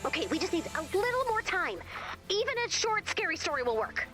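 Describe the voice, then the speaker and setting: A woman's voice: extremely high pitch, very animated, brisk, music in the background. An actress voicing a flustered, lovesick young woman in a video game, rambling awkwardly and interrupting herself as she goes. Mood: anxious pleading. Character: flustered, chatty, lovesick young woman improvising a camp scary story.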